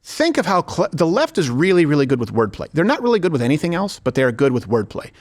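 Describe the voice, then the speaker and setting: A man's voice: a little high, huge pitch swings, fast talker. A man hosting a political talk show, giving opinionated commentary in an emphatic, sarcastic manner.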